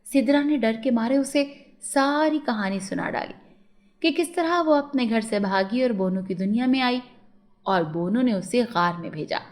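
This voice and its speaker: A woman's voice: on the high side, animated, measured pace. A woman narrating a scripted children's moral story as a storyteller.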